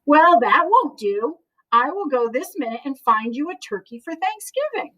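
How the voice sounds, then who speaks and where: Storybook moose husband, voiced by a woman: courteous, insistent, high in pitch, slightly far from the mic. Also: very animated, moderate pace, sharp tone, exaggerated voice. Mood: determined, friendly. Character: polite, determined male moose husband, storybook animal.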